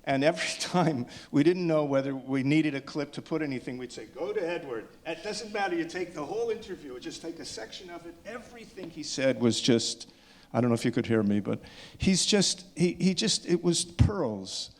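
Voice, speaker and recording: A man's voice: a little high, expressive, moderate pace. A man speaking informally as a filmmaker at a discussion, effusive and often breaking off to restart his sentences. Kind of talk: informal post-screening remarks.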